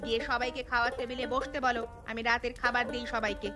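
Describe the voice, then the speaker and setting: A woman voicing a bustling, sharp-tongued but caring mother in a cartoon, with a bossy, motherly manner. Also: fairly high pitch, level tone, fast talker. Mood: sarcastic. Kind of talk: cartoon dialogue. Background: background music.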